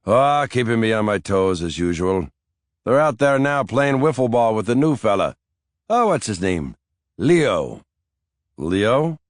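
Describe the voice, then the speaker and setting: A man doing a mischievous old grandfather, pitched well above a low, dry reading voice. He talks in a chatty, teasing way, in an audiobook. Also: sing-song, unhurried, no echo. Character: elderly Irish grandfather, wry and teasing.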